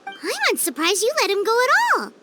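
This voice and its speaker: A woman voicing a small, excitable, childlike fairy companion with a squeaky voice in an anime-style video game. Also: fairly quick, over music, full character voice. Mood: curious. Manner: exclamatory, speaks of herself in third person.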